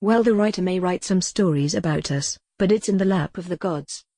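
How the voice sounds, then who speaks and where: Lowish mellow tts woman doing a calm deadpan lady computer that thinks it's a cat, comedy drama. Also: fairly quick, no echo, clean studio sound. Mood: calm, matter-of-fact. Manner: deadpan synthetic text-to-speech delivery. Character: calm, wry female retro computer who thinks she's a cat. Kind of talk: scripted audio comedy drama.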